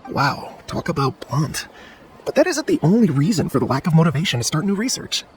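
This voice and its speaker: A man's voice: fairly high pitch, big pitch swings, brisk, a little hiss or hum. An actor playing a nervous, chatty, hesitant young male researcher in a video game. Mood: candid.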